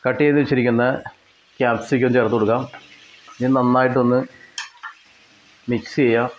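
A man with a Kerala Malayali accent giving step-by-step cooking instructions in a plain way, with pauses and repetitions. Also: mid-range voice, little pitch movement, muffled recording, slight background noise. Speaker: home cook presenter. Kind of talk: step-by-step recipe narration.

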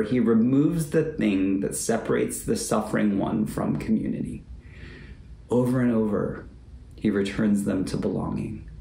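A man in his forties preaching a reflective sermon as a pastor, speaking in a measured, deliberate way and repeating phrases for emphasis. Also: lowish voice, mellow. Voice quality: gravelly, creaky. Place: book-lined home office or study, indoors. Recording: roomy echo, faint background noise.

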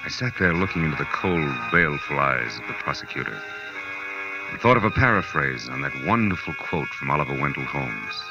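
An actor playing a wry, tough but kind private detective in 1940s radio drama, clipped, slangy and sardonic. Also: male voice, a bass voice, sing-song, a little fast, full character voice. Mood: brooding. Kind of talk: 1940s radio drama acting, hard-boiled first-person narration. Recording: dull 1940s radio recording, faint music.

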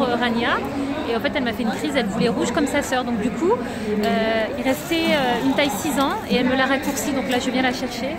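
A woman in her thirties, vlogging to a phone at arm's length, chatty and full of hesitations as she walks through a busy bazaar. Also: high voice. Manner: chatty, lots of hesitation fillers. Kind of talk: casual vlog chat to camera. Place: busy indoor bazaar hall, later a city bus. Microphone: phone held at arm's length. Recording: loud crowd and traffic noise.

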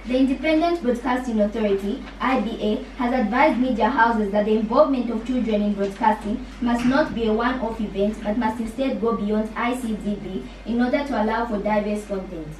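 A high-voiced teenage Zambian schoolgirl reads scripted news. She sounds set back from the mic in a roomy studio. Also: brisk, few pauses, a little mellow. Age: teenage school pupil. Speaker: pupil newsreader. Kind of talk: scripted radio news reading. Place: small radio studio, recorded by camera. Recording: roomy, distant mic, faint noise.

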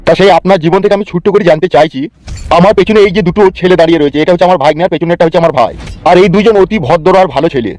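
Man as hot-headed, foul-mouthed cartoon uncle, very rapid high-pitched bluster over music. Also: darkish tone, close to an everyday voice. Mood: persuasive. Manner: blustering, crude slang, wheedling speeches.

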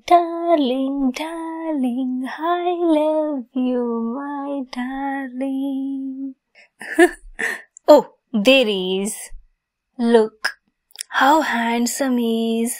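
A woman's voice: high voice, fairly even intonation, very slow, darkish tone. A woman playing a lovesick, possessive young kitsune fox girl in an ASMR roleplay, sweet, coy and giggly in manner. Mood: lovesick, dreamy.

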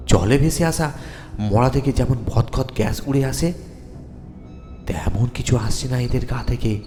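A man narrating a ghost story in a theatrical, deliberate way, with dramatic pauses. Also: medium pitch, dark tone. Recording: long reverb with music underneath. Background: music.

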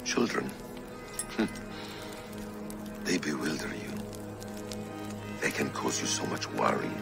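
An actor playing a grave, solitary warrior assassin, speaking in a measured, solemn way in a video game. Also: male voice, extremely low pitch, lively intonation, creaky, full character voice. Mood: wistful. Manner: measured, solemn, sparing with words. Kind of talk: video game cutscene acting. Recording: loud game music behind.

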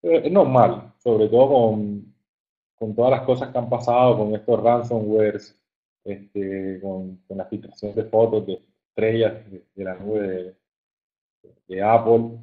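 A man with a fairly low voice and a coastal Colombian accent gives an informal online presentation. He speaks haltingly, often repeating words as he looks for the next phrase. The audio is muffled and narrow, like a phone call. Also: steady tone. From Caribbean coast of Colombia. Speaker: webinar presenter, software engineer. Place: online webinar.

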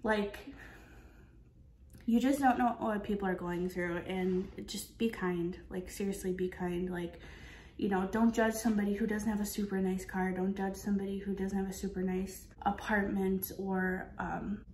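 Woman, twenties, casual vlogger chat, earnest, medium pitch, roomy kitchen echo. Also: fairly even intonation, moderate pace, darkish tone, slight background noise. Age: 20s. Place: kitchen of an apartment, indoors. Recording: echoey indoor room.

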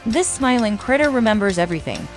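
A woman reads scripted quiz prompts in a fairly high, animated voice. Loud music plays behind her. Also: quick pace. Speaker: quiz host.

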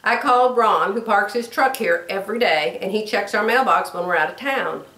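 An older woman with a drawl, hoarse, telling stories and chatting casually to camera as a sewing vlogger. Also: mid-range voice, moderate pace, a bit bright, recorded from a distance. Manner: chatty storytelling, acts out quoted voices. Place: bedroom sewing room, indoors. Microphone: camera across the room. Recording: roomy, from off mic.

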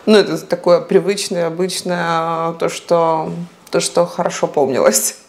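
A reflective woman in her forties from eastern Ukraine speaks slowly and thoughtfully, searching for words. Her voice sits slightly low. She is recorded indoors on a clip-on lapel mic in an apartment. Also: fairly even intonation, darkish tone. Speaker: interviewee, psychologist. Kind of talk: reflective documentary interview. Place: apartment living room, indoors.

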